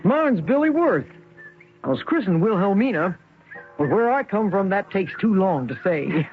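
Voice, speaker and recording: An actress playing a folksy, forthright middle-aged widow from the American West in a radio drama, drawling homespun sayings and calling people honey. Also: female voice, mid-range voice. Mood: chatty, folksy. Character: folksy, forthright Western rancher's widow, chatty and motherly. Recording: tinny, noisy old radio broadcast recording.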